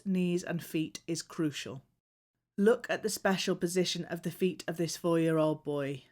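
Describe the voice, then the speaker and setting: A woman reading scripted instructional narration for a training film in a measured, clearly phrased way. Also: fairly low pitch.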